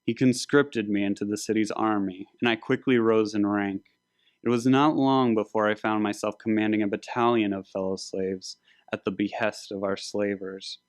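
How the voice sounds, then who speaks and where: A menacing warrior king of biblical times, performed by a male reader, grandiose and smoothly manipulative. He speaks formally in a low voice, in a dry storybook recording. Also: no room sound, close to an everyday voice.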